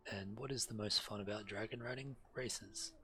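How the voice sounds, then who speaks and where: Deep-voiced man streaming a game. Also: steady tone, moderate pace, bright, forceful tone, slight background noise. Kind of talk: reading quest text aloud and casual game commentary.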